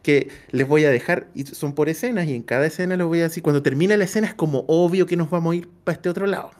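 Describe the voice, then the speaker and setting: A man's voice: a little high, fast talker, a little mellow. A man with a Chilean accent explaining things in casual conversation as a game master on a panel.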